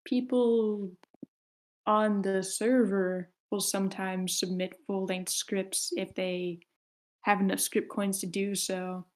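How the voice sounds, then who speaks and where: A woman's voice: mid-range voice, level tone, slow, a little mellow. A woman hosting an online table read, talking casually and politely as she organizes the group. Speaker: host of an online table read, casting parts. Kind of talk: casual group call chat. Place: online voice call.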